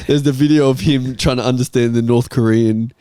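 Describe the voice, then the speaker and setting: A man's voice: mid-range voice, fairly even intonation, a little fast, a crowd in the background. A man hosting a football show in casual, sweary chat full of matey slang. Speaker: host of a football talk show.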